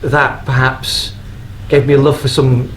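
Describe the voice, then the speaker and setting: A male panel guest with a middling pitch talks off the cuff in a rambling, halting way. He is recorded live on stage, with some noise and room ambience around him. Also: flat delivery, poor audio. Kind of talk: casual live panel chat. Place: on stage at a fan convention.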